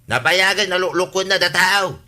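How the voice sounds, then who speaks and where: A man's voice: sits high, normal speed, pushed and bright. An actor playing an indignant, righteous villager in an Ilocano radio comedy, complaining insistently as he vows to report wrongdoing.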